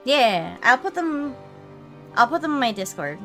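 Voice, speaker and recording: A woman's voice: a little high, sing-song, a little slow, faint music behind. A woman chatting casually as she hosts a livestream. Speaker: streamer hosting a live game readthrough.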